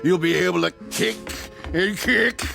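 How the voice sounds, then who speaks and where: Gravelly, taunting Old West peddler. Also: male voice, high-pitched, unhurried, sharp tone, heavily acted. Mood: gleefully taunting. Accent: American. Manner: folksy, goading, drawling. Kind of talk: radio drama acting. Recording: music underneath.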